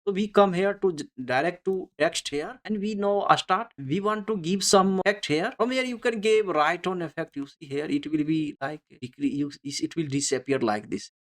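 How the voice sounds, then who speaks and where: A software tutorial instructor narrating unscripted step-by-step instructions in halting, repetitive English with non-native phrasing. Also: mid-range voice.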